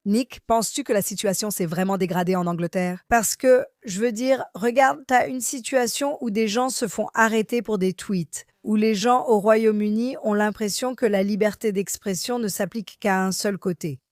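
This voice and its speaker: Woman, interview host, pointed leading questions, middle pitch, dry sound. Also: normal speed, few pauses, a little mellow, dry recording.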